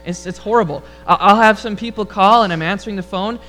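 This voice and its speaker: High-voiced man preaching conversationally. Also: fast, a little hiss or hum. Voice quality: high, rather feminine-sounding voice. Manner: relaxed, storytelling delivery with humor. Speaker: pastor. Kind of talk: conversational sermon with prayer. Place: church sanctuary before a congregation.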